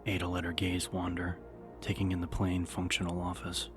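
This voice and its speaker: A man's voice: deep voice, completely flat delivery, moderate pace. A man reading scripted dramatic fiction as an audiobook narrator. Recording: music behind the narration. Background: music.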